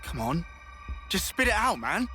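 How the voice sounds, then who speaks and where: A man's voice: sits high, very animated, unhurried. A man voicing a brash, impatient young soldier in a video game, talking bluntly and casually. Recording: game cutscene with loud music.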